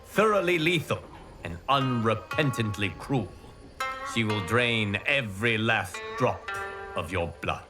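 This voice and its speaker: An actor playing a calm, solemn male fire god who briefs the player in a game cutscene, speaking in measured, formal words with music behind. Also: lowish voice. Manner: measured, grave, formal diction. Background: game score music.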